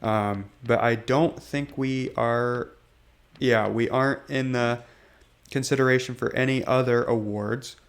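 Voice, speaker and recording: A hoarse young man recovering from illness, casually rambling through gameplay commentary with lots of pauses and fillers. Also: mid-range voice, fairly even intonation. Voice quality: raspy. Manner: rambling, hesitant, lots of ums. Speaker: gaming channel host.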